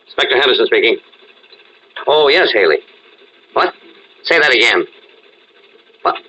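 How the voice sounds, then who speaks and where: A gruff radio-drama police inspector, acted by a man, blunt and impatient with everyone. His delivery is forceful and swings up and down with slangy exasperation. The sound is muffled, like old radio. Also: mid-range voice, animated, a little slow, a little hiss or hum. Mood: surprised, impatient.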